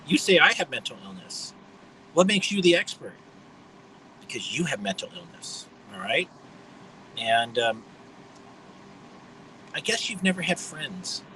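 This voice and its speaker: High-voiced blunt man in his fifties. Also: very animated, measured pace, pauses often, pushed and bright, a little hiss or hum, poor audio. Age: 50s. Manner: blunt, mocking, rambling. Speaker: livestream host. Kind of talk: rambling livestream monologue.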